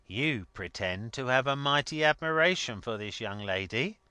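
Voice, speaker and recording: A man's voice: medium pitch, lively intonation, normal speed, bright, forceful tone, clean tone, dry recording, voice pushed up. A man voicing a blustering, scornful older rich man in a dramatic story reading, emphatic and mocking. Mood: sneering.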